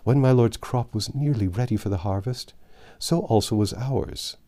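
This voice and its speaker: A man's voice: mid-range voice, moderate pace, warm tone, lightly acted. A man reading an audiobook as a grieving, starving peasant mother, telling of hardship in impassioned, archaic speech. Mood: indignant. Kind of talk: dramatic audiobook character reading.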